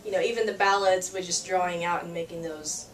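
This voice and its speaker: A woman's voice: on the low side, fairly even intonation, fairly quick. A young woman from Peru, a singer-songwriter, chatting in an interview with frequent fillers and laughter. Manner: chatty, laughs often, many fillers and restarts. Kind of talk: casual interview chat. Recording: roomy, distant mic, faint ambience.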